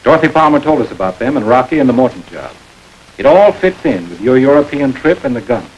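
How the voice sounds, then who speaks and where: An actor playing a firm detective who speaks bluntly and steadily, in a 1940s film. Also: male voice, medium pitch, very animated, quick pace, lo-fi sound, slight background noise, lightly acted. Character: firm male detective, adult.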